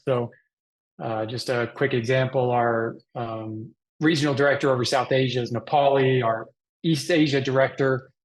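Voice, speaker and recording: A middle-aged man from Texas speaks as a guest in an interview. His voice sits at a medium pitch as he explains things in a conversational way. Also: poor audio. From Texas, Dallas area. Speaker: guest, mission organization president.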